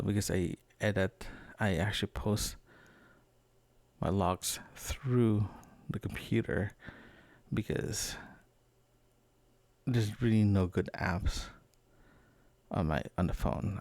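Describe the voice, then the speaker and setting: A man talks off the cuff, as if keeping a personal audio diary. His voice sits on the low side with plenty of fry, and the sound is dry and close. He wanders from one aside to the next, halting as he goes. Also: a little mellow, no room sound. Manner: rambling, halting, trailing asides. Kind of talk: casual, unscripted spoken diary.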